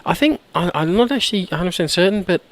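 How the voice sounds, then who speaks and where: A man with a hoarse, croaky voice from a cold, chatting casually while he plays and often clearing his throat. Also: high-pitched, lively intonation, quick pace. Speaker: gameplay commentator. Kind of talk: casual let's-play commentary.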